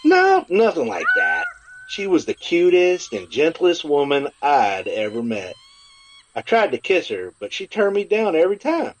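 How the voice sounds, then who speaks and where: A man voicing a goofy, boozy, defensive cowboy gunslinger in an audio story, with excitable delivery. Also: on the high side, unhurried, lo-fi sound, a little hiss or hum, voice pushed up. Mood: protesting.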